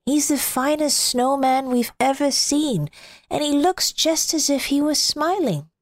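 A woman's voice: sits high, animated, unhurried, no room sound, voice pushed up. An earnest, worried little boy from a bedtime storybook, acted by a woman narrator. He talks with a raised, lilting voice. The recording is dry and close. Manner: earnest, anxious. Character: small worried young boy. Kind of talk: storybook reading.

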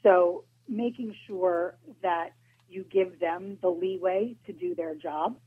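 A woman's voice comes through a thin, tinny phone connection, a touch husky. She explains roadside safety in a measured, practical way, pausing now and then. Her pitch sits in an ordinary middle range. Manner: measured, practical, some hesitations. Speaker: auto club spokesperson guest. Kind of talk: informative interview answers. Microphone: phone line.

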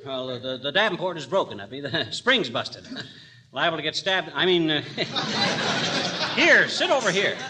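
An actor playing a blustering, wisecracking middle-aged husband, brash and folksy, in 1940s radio comedy. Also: male voice, a little high, big pitch swings, a little slow. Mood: flustered, evasive. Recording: noisy old radio recording with clicks.

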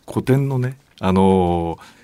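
A man chatting casually as a guest, speaking in a hesitant, halting way. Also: sits low, expressive, dark tone, clear, resonant tone, no room sound. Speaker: podcast guest, painter and art teacher. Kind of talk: casual chat.

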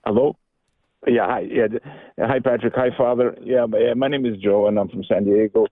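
A man in his sixties, originally from Albania, calling in to a radio show and talking in a halting way with many restarts. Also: medium pitch, fairly even intonation, dry recording. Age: 60s. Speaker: caller. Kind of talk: casual phone-in chat. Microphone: telephone. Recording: tinny phone line.

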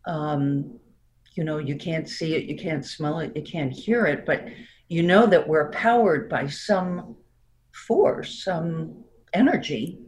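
A woman in her sixties with a New York accent, speaking as a therapist guest in a reflective, unhurried way with frequent light laughs. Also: sits low, darkish tone, slight background noise. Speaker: marriage and family therapist guest. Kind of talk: reflective interview talk.

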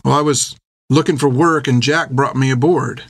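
A man's voice: mid-range voice, steady tone, moderate pace, creaky, slightly rough, no echo, clean studio sound. A man voicing a frightened young woman disguised as a boy sailor, who stammers meekly and pleads.

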